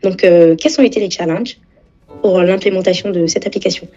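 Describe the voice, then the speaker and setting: A woman hosting a casual interview, asking questions in a lively, encouraging way and speaking directly to viewers. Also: lowish voice, a little fast, muffled recording, soft background music. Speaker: interview host.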